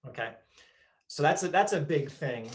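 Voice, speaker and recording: A man's voice: a little high, expressive, normal speed. A man hosting an interview over a video call, chatty and explanatory as he asks questions. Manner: chatty, explaining, frequent restarts. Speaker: host, interviewer.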